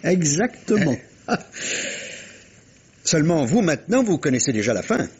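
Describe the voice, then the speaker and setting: Wry, husky, animated police inspector. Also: male voice, a little high, heavily acted. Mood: wry, explanatory. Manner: measured, theatrical, teasing explanations with rhetorical questions. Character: wry police inspector explaining a murder case. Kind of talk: 1950s French radio mystery acting. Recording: dull, muffled vintage radio recording.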